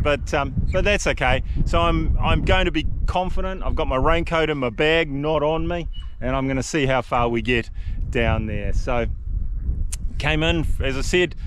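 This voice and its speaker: A man's voice: mid-range voice, a bit bright, a little hiss or hum. A man narrating a casual camping and hiking vlog to camera in a chatty, understated way, often adding little tag questions. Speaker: solo hiking vlogger.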